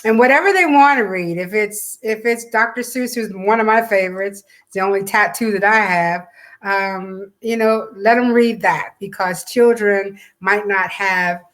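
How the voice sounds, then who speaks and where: A woman's voice: medium pitch, normal speed, fairly bright tone. An American woman co-hosting a casual promotional chat, speaking conversationally and repeating phrases for emphasis. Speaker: co-host and event organizer.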